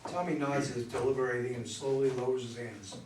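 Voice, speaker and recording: A man's voice: medium pitch, fairly even intonation, quick pace, a little mellow. A man narrating scripted stage directions at a play reading. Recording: roomy, distant mic, faint noise.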